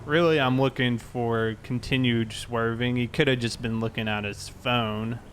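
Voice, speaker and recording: A man's voice: medium pitch, fairly even intonation, moderate pace, fairly bright tone, slight background noise. A man in casual police roleplay, talking in a conversational, explanatory way. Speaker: player roleplaying a police officer. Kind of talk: casual roleplay narration and dialogue.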